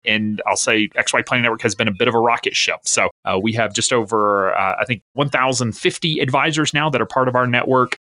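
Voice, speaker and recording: Bright-voiced man with a faint Southern accent. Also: mid-range voice, a little fast, hardly any pauses, no room sound. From American South. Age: 30s. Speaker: guest, company founder. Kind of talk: interview storytelling.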